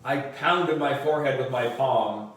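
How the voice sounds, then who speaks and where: A man reading a children's story aloud in an animated way, with dramatic emphasis. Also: mid-range voice, measured pace. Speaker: audiobook reader for a book club. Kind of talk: expressive children's storybook narration. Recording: roomy echo, distant microphone.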